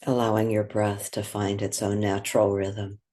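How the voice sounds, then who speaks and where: A man leading a guided meditation in a gentle, unhurried way, with long pauses between phrases. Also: on the low side, completely flat delivery.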